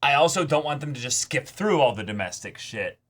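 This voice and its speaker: A man co-hosting an anime reaction show in casual banter, excitable, swearing freely and repeating words for emphasis. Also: mid-range voice, a little fast. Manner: casual profanity.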